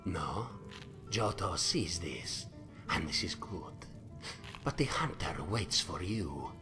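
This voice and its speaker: Cat-man ex-sailor, simple and wistful, game dialogue, broken English, unhurried and lively in pitch, music behind. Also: exaggerated voice. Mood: proud, musing. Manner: broken English, speaks of himself in third person. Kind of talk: video game character dialogue.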